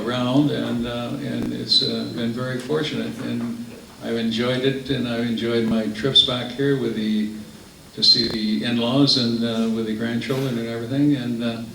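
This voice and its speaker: A man's voice: mid-range voice, creaky, a bit bright. An older man giving a rambling, good-humoured reunion speech, full of fillers. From not Norway. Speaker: former aviation contractor. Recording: echoey hall from far off, noisy.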